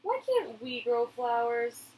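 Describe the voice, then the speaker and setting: A woman's voice: fairly high pitch, big pitch swings, takes it slowly, darkish tone, a little hiss or hum, rough recording. A woman voicing a curious young girl in a storybook, eager, questioning and pleading. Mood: puzzled, pleading.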